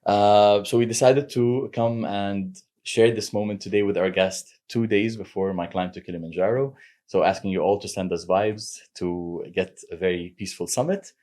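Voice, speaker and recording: A man hosting a podcast interview, upbeat and energetic as he welcomes and questions his guest. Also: lowish voice, normal speed. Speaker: podcast host.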